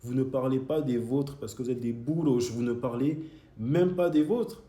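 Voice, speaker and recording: A man's voice: medium pitch, normal speed, warm tone. A young man who grew up in Switzerland, speaking straight to his critics in a heated, argumentative monologue. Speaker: video creator. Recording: echoey room.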